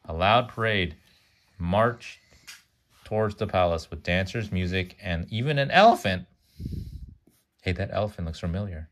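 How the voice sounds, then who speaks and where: Guy w a deep low voice reading a kids book out loud, fumbles words a bit, chats to the kid as he goes. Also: animated, normal speed, long pauses, slight background noise. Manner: stumbles over words and corrects himself. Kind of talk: read-aloud children's story with chatty asides.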